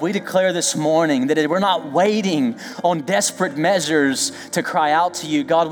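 Reverberant pastor preaching from the pulpit. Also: male voice, on the high side. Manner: lively, joking, emphatic preaching with call-and-response asides. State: losing his voice. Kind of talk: sermon, then leading prayer and worship. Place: church sanctuary.

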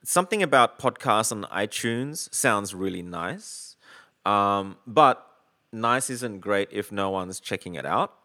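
Man, low pitch, casual rambling chat, filler words. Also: lively intonation, takes it slowly, bright, forceful tone. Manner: rambling, lots of likes, swears casually. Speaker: podcaster on a video call. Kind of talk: casual chat about gear.

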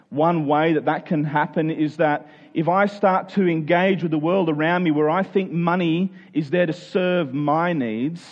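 A man with a somewhat high-pitched voice delivers a sermon in everyday language. He is earnest, asking questions of his listeners and rephrasing points for emphasis. The recording is a little dull and lo-fi. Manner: earnest, rhetorical questions, restating phrases for emphasis. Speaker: preacher. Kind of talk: sermon, explaining a Bible passage in plain conversational words.

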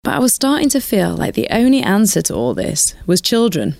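A woman's voice: medium pitch, big pitch swings, a little fast, vocal fry, darkish tone, dry recording. Woman, sniffly cold voice, candid documentary voice-over, dry close sound. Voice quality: nasal, congested. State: has a cold, bunged up and sniffly. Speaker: documentary narrator. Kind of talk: reflective voice-over narration.

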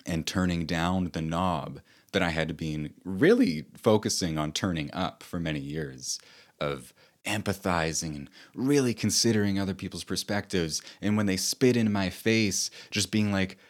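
A man with a deep voice giving a slow, thoughtful personal talk as an author and host.

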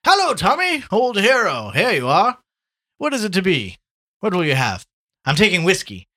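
A man's voice: high voice, huge pitch swings, normal speed, pushed and bright, pitched above the performer's own voice, full character voice. A man voicing a jovial, boastful journalist in a storybook, hearty and exclamatory. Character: loud, swaggering, jovial male Irish journalist back from London.

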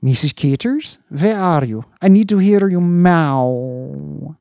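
Man putting on a shrill fussy official. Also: lively intonation, slow pace, creaky, dark tone, dry recording, exaggerated voice. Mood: cooing. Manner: high, shrill, wheedling and cooing. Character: fussy petty male official, Slope.

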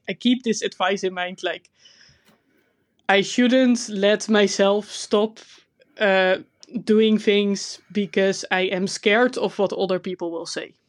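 A woman with a Dutch accent co-hosting a casual podcast, telling a story in a relaxed, self-conscious way with frequent laughs. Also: mid-range voice. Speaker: podcast co-host. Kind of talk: casual chat.

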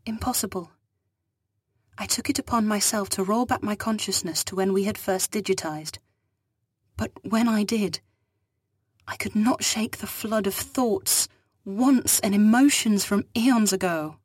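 A woman's voice: medium pitch, unhurried, breathy edge, dry recording, clean studio sound, voice pushed up. A woman voicing a frightened, ancient digitized alien woman who pleads for asylum in an afraid, breathless way. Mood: wistful. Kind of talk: audio story dialogue.

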